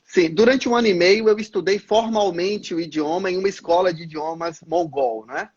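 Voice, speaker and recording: Husky high-voiced man, muffled. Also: a little mellow. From Brazil, Salvador. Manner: measured, explanatory, frequent "é" fillers. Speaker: missionary pastor guest. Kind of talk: live-stream interview answers.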